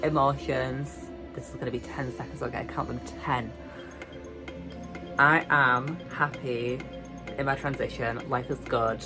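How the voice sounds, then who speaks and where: A woman's voice: extremely low pitch, fairly even intonation. Young British woman, girly light voice, casual vlog chat, self-mocking, music behind. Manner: chatty, self-mocking, drawn-out emphasis. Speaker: vlogger.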